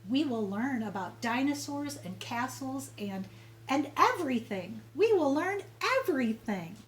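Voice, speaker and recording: A woman voicing a proud, earnest, excitable male school principal in an emphatic children's storybook read-aloud. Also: high-pitched, a little slow. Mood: proud, enthusiastic. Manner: emphatic, repetitive, exclaiming.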